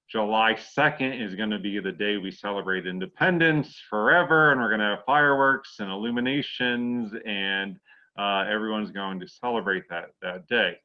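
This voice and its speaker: A man giving a halting, explanatory answer as a discussion guest, often repeating words as he goes. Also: on the low side, lo-fi sound. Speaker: guest author.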